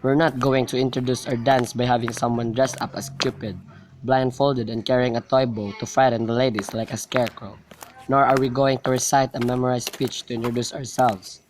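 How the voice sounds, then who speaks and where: A practical, no-nonsense young gentleman in a student radio play, acted by a young man. His voice is mid-range and matter-of-fact, recorded with clear noise and clicks. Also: mid-range voice, little pitch movement, fairly quick, close to an everyday voice. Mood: dismissive. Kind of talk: amateur student radio drama acting.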